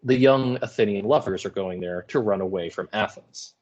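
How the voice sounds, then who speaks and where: A man's voice: on the low side, moderate pace, a little mellow, muffled recording. A man teaching English in an informal video lecture, explaining with wry asides and some hesitation. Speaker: high school English teacher.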